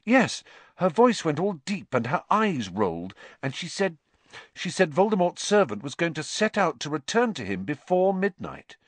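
An earnest teenage boy wizard from an audiobook, acted out by a man. The voice sits high and swings widely, with an agitated, insistent edge, recorded very dry. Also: big pitch swings, moderate pace, no room sound. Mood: anxious, urgent. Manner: agitated, insistent, hesitant in places. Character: earnest, troubled teenage schoolboy wizard, male. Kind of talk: audiobook character voice.

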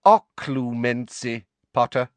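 A man narrating a storybook puts on a sneering male professor with a waspish, cutting manner. He speaks with quiet contempt and leans delicately on his words, in a very dry, close sound. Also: medium pitch, very animated, measured pace, dry recording, pitched above the performer's own voice.

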